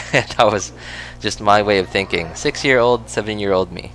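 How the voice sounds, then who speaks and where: A man's voice: lowish voice, normal speed, a bit bright, slight background noise, low-quality recording. A man with a Filipino accent, whose first language is Cebuano, chatting casually while he plays a game, rambling with lots of fillers and self-corrections. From Philippines. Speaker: gaming streamer.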